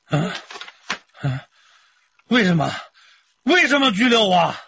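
Casting: a drunk, threatening villager, high and sharp, drawling slowly, dull lo-fi radio sound. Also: male voice, sing-song, gravelly, a little hiss or hum, heavily acted. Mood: defiant. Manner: slurred, drunken bellowing. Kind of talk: radio drama acting. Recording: dull lo-fi radio play audio.

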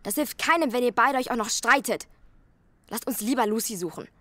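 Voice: mid-range voice, fairly quick, sharp tone, dry recording, lightly acted. A performer playing a firm, impatient older brother, a boy, in a German audio drama. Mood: exasperated.

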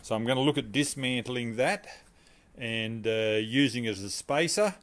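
A man's voice: mid-range voice, moderate pace, a bit bright. A man, casually walking through his home garage workshop, rambling and asking viewers for advice. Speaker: car restoration vlogger.

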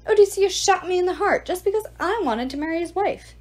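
Whining coward ghost, male, read by a woman; aggrieved, lively pitch. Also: fairly quick. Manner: aggrieved, complaining.

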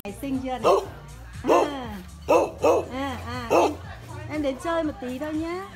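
A woman's voice: high-pitched, normal speed, vocal fry, music in the background, crowd noise. A woman chatting casually outdoors and talking to her dog dotingly, like a child. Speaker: dog owner filming a vlog. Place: outdoors at a campsite.

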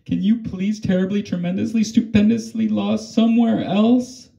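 A man's voice: sits high, steady tone, normal speed, vocal fry, dark tone, roomy sound, highs cut off, pitched above the performer's own voice. A man voicing a plucky, curious young girl from a storybook, with an emphatic, questioning delivery. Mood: indignant.

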